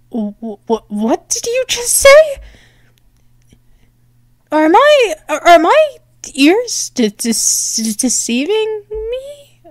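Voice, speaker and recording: A woman playing a flustered, bratty but affectionate cat-girl girlfriend in an ASMR roleplay, halting and teasing as she stammers. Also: high-pitched, no room sound, clean studio sound, exaggerated voice. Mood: flustered, incredulous. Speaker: audio roleplay performer.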